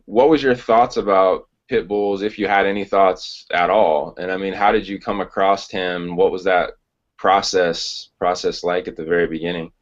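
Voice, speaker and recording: Low-voiced man hosting a podcast interview. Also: steady tone, lo-fi sound. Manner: measured and thoughtful, with "uh" fillers. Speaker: podcast host. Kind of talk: casual interview.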